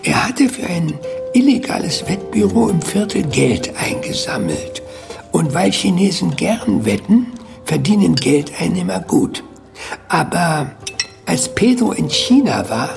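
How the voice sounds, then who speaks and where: An actor playing a sly, teasing Cuban-Chinese man in a radio drama, with a gravelly voice and drawn-out, swooping delivery. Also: on the high side, very animated, full character voice. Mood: sly, knowing. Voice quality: creaky, gravelly. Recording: music behind the voice.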